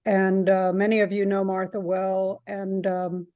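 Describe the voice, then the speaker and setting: Slow-spoken woman, board chair on a video call. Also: mid-range voice, completely flat delivery, warm tone, no room sound, studio quality. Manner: earnest, with hesitant fillers.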